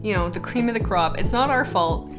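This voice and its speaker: A woman plays a sassy female warrior cat with a flair for drama. Her delivery is very animated, full of mock indignation and teasing banter in an improvised fan Q&A. Music plays clearly behind her. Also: mid-range voice, fairly quick, close to an everyday voice. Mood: playful, smug.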